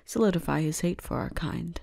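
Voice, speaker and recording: A woman playing a commanding, teasing demon lord's daughter in an intimate ASMR roleplay, soft-spoken and unhurried, at times whispering. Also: fairly low pitch, creaky, no echo, studio quality. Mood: stern, commanding. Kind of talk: ASMR roleplay, intimate scripted character acting.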